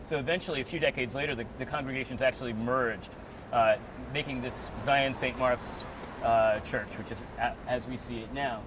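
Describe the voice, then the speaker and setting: A man's voice: medium pitch, fairly even intonation, moderate pace, recorded from a distance. A man hosting a walking tour, explaining things in a casual, conversational way. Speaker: tour host and interviewer. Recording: off-mic, clear street and traffic noise.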